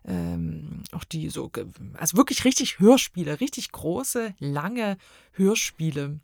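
A woman's voice: fairly low pitch, expressive, a little slow, vocal fry, darkish tone, no room sound. Woman chatting casually, close dry sound. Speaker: podcast guest. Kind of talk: casual chat.

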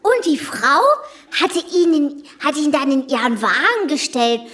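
Woman as a very high, halting child. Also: animated, fairly bright tone. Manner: halting, searching for words. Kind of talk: children's fairy-tale audio play.

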